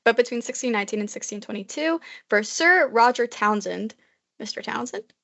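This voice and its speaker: A woman's voice: fairly high pitch, expressive, brisk, gravelly, a bit bright. A young woman co-hosting a podcast, chatting casually and rambling with many fillers. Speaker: podcast co-host. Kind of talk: casual chat. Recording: muffled lo-fi recording.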